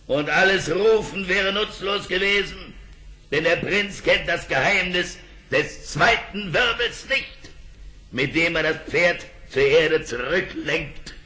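An actor playing a cunning foreign sorcerer, measured and defiant, in a German fairy-tale radio play. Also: male voice, high voice, bright, forceful tone, heavily acted, poor audio.

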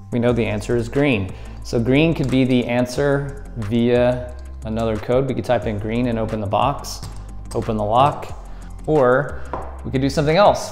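A man's voice: mid-range voice, darkish tone. A man in his thirties hosting a friendly how-to video, explaining step by step while he handles props at a table indoors. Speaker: escape room tutorial host. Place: indoors at a table against a plaster wall.

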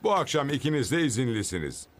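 A man playing a calm male team boss in a dubbed cartoon. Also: mid-range voice, fast, a little hiss or hum, lightly acted.

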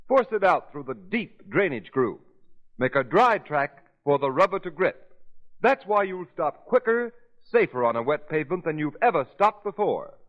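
Male radio announcer, emphatic sales pitch, very animated, higher pitch, dull 1930s recording.

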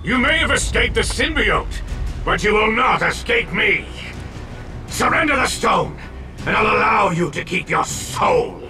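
Pompous demon villain for a game, slow gravelly, music behind. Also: male voice, sits high, lively intonation, raised voice, recorded from a distance, heavily acted. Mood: commanding. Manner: pompous, theatrical. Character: menacing, grandiose demonic villain lord. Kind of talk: video game villain acting.

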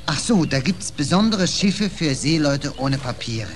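A man's voice: fairly high pitch, lively intonation, measured pace, over music. An actor playing a kindly, naive, easily shocked policeman, exclaiming and chatty, in 1940s radio drama style. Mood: friendly, curious. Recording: noisy 1940s radio play recording.

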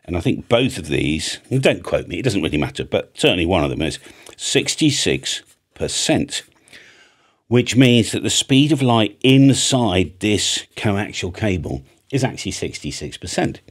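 A British man in his fifties with a husky voice gives an informal, chatty tutorial, often checking in with the listener, close on a studio microphone. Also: fairly low pitch, big pitch swings, normal speed. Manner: chatty, plain-spoken, checks in with "right?" and "okay?". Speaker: amateur radio operator and antenna maker presenting a tutorial. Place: small indoor home studio. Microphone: large studio microphone on a boom arm, close.

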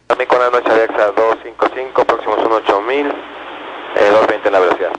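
A man's voice: fairly low pitch, fairly even intonation, brisk, lo-fi sound. A man working as a pilot, giving clipped readbacks over aviation radio. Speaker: airline pilot. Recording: noisy cockpit-to-tower radio transmission.

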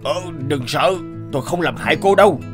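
A man voicing a calm, insistent enchanted frog in a cartoon fairy tale, measured, courteous and commanding. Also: on the high side, music in the background, natural voice.

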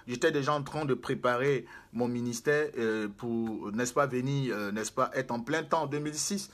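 A man's voice: mid-range voice, moderate pace. A man, preaching to a camera in a small room, emphatic and repetitive, gesturing as he speaks. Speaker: prophet and spiritual guide.

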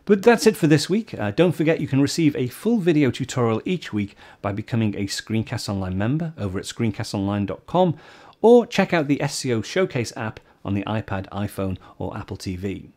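Older man, lively tutorial host. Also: mid-range voice, brisk. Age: older, about 60. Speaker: host of a tech tutorial show. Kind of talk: step-by-step software tutorial, presenting to camera. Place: small home studio office, indoors.

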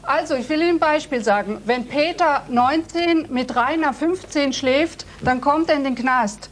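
A woman politician on a debate panel who argues forcefully, driving her points home with examples. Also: sits high, normal speed, slight background noise. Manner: forceful, argumentative, lists examples. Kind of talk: heated TV debate. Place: TV studio audience seating.

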